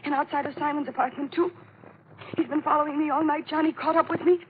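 A high-voiced young American woman , played by an actress, in an old radio thriller, frightened and close to hysterics. She speaks in halting, breathless phrases with melodramatic pleading. The broadcast is tinny and narrow, with heavy scratchy noise. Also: steady tone, natural voice. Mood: distraught, pleading. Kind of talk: 1940s American radio mystery acting.

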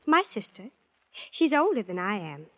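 A woman's voice: fairly high pitch, sing-song, normal speed. An actress playing a pampered, self-assured teenage girl, guileless and prim, in a 1940s radio drama style. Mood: friendly, curious. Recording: muffled vintage radio drama recording.

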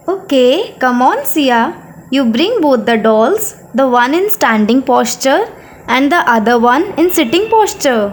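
A woman voicing a cheerful, excited elder sister, a young girl playing with dolls in a children's story, with a bubbly sing-song delivery. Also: high-pitched, measured pace, a little hiss or hum.

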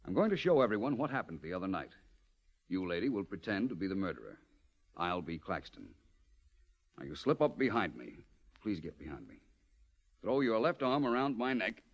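An actor playing a suave, commanding private detective in a polished 1940s radio drama style. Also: male voice, on the low side, big pitch swings, a little fast, pauses often, muffled recording. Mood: commanding, theatrical. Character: suave, self-assured male private detective, wryly theatrical.

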